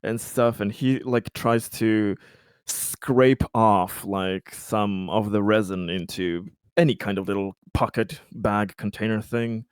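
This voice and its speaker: A man's voice: on the low side, steady tone, slow, clean tone, dry recording. Man chatting in a very dry recording. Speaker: player in a tabletop roleplaying game. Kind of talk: casual table chat.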